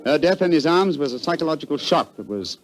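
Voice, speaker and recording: A male actor playing a courteous, commanding detective with an English accent and crisp formal delivery in 1940s radio drama style. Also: fairly high pitch, animated, fast, close to an everyday voice. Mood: reflective, explanatory. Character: brisk, courteous, commanding male detective, incisive and self-assured.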